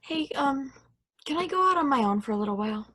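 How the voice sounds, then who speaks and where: Woman playing high-voiced, naive young space probe. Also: animated, quick pace, sharp tone. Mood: shy, hopeful. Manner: hesitant, earnest, innocent. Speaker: actor in a table read. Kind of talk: play table read, conversational acting.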